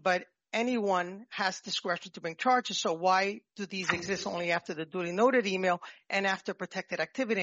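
A man with a New York accent giving a formal, halting and nervous oral argument as a petitioner in court. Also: high voice, fairly bright tone, dry recording. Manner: halting, nervous, jumping between points. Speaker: petitioner arguing own appeal. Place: appellate courtroom. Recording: dull courtroom microphone, dry sound.

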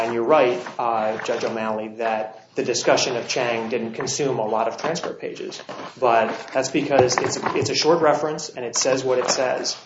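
Attorney, male, slightly scratchy voice, measured delivery with filler pauses; roomy, dull courtroom recording. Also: medium pitch. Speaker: appellate attorney. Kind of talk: courtroom oral argument.

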